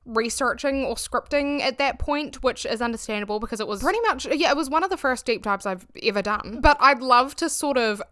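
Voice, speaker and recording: A woman's voice: a little high, a little fast, pushed and bright. A woman from New Zealand with a Kiwi accent, hosting a casual commentary vlog from her bedroom, chatty and full of fillers. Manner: chatty, filler-heavy, laughs between phrases. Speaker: YouTube commentary host. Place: bedroom at home.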